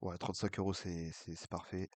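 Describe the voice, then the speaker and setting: A man's voice: deep voice, flat delivery, a little fast, darkish tone, no room sound. A man with a cold and a blocked nose, casually talking through an online baccarat session and muttering to himself between bets. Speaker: online casino streamer.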